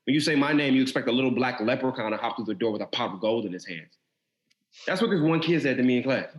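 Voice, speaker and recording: A man's voice: mid-range voice, animated, quick pace, lightly acted. Brooding younger brother, acted by a man, echoey room. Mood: defensive, aggrieved. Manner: earnest, informal, wounded. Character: Shawn, brooding, insecure younger brother, artistic. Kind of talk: audio drama acting. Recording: echoey, roomy sound.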